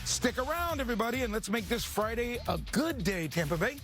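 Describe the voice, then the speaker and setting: High-voiced enthusiastic male TV reporter. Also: very animated, fairly quick, music in the background. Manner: enthusiastic, chatty, repeats himself. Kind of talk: upbeat live morning TV feature chat.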